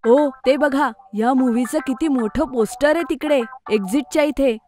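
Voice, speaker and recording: A woman voicing a lively, excitable young boy in a cartoon, talking in quick exclamations. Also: high voice, soft background music, close to an everyday voice. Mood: excited.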